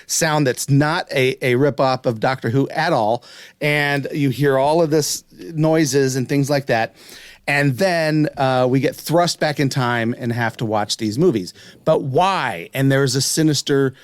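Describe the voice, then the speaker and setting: A man's voice: mid-range voice, a little slow, dry recording. A man hosting a podcast, chatting casually in an enthusiastic, rambling way. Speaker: podcast host.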